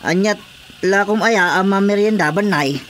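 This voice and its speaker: A man's voice: high voice, normal speed, fairly bright tone, a little hiss or hum. A man with an Ilocano accent playing an earnest village councilor in a radio drama comedy. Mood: hesitant. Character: earnest village councilor on market inspection rounds.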